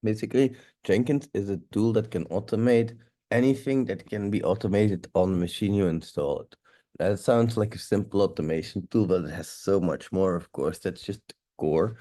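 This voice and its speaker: A man's voice: on the low side, normal speed, dark tone. A man with a stuffy nose giving a loose, rambling live walkthrough of a tech tool as the instructor, swearing now and then. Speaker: security instructor.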